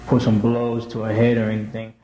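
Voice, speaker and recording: Flat, low, hesitant man in echoey room. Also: brisk, a crowd in the background. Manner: hesitant, fragmented. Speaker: police suspect. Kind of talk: halting videotaped statement. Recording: echoey, noisy police interview videotape.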